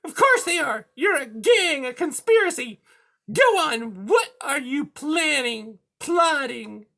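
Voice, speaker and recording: Shrieky, sneering storybook cat villainess. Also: female voice, sits high, expressive, slow, creaky, bright, forceful tone, voice pushed up, exaggerated voice. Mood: outraged. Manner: menacing, snarling, interrogating. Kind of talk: children's storybook character voice.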